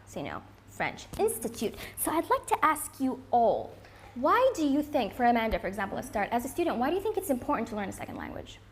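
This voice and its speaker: A woman whose first language is Arabic, hosting a talk show with enthusiastic, animated energy. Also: on the high side, a little hiss or hum. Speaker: host.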